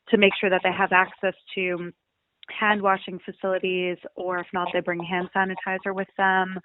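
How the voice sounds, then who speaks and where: A woman chief executive explaining things conversationally in a phone interview, with frequent "you know"s. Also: lowish voice. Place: on a telephone call. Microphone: telephone. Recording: tinny phone line with background noise.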